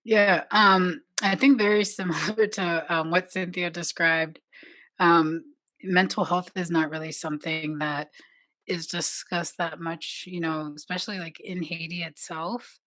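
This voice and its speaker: A woman guest speaking in a hesitant, relaxed way, with many fillers and restarts and light laughter. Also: fairly low pitch, a little slow, no room sound. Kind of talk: casual panel conversation.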